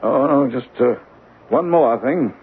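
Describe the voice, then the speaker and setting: An actor playing a crusty older Yankee banker in a radio drama, dry and formal, with a husky voice. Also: male voice, mid-range voice, measured pace, slight background noise, lightly acted. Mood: dry, wistful. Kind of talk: old-time radio drama acting. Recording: tinny vintage radio broadcast.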